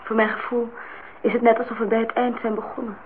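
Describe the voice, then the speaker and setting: A woman's voice: on the high side, flat delivery, fast, natural voice. An actress playing a naive, lovestruck young woman in a radio drama, speaking in short, plain, plaintive replies. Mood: regretful. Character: naive, lovestruck nineteen-year-old young woman, quietly stubborn. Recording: thin phone-like sound, noisy old radio recording.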